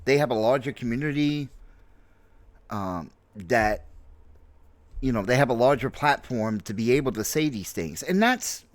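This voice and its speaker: A man in his late forties from New York City with an American accent, giving an unscripted personal opinion in a halting, reflective manner and repeating himself for emphasis. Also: medium pitch, expressive, no echo. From New York City, United States. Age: late 40s. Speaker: streamer, content creator.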